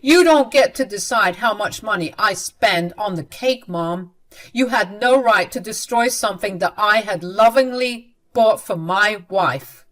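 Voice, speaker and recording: A woman's voice: mid-range voice, expressive, measured pace, a bit bright. A woman voicing an angry adult son who speaks in a stern, confrontational way in an audio story. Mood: outraged. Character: angry adult son, firmly defending his wife.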